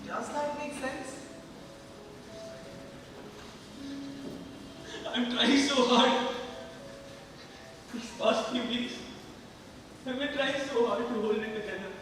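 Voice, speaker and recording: Man acting a high-voiced anguished parent, echoey hall. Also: unhurried, long pauses, background noise. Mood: tender, strained. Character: anguished, weary parent at a child's hospital bedside. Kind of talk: amateur stage play acting. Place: large hall stage. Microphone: distant microphone. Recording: echoey hall from far off, music.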